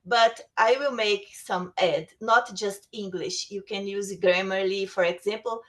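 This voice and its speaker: A woman's voice: medium pitch, fairly even intonation, unhurried, fairly bright tone. A woman whose first language is Portuguese, presenting a webinar lecture on writing tools, reading prepared text with small restarts. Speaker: webinar speaker.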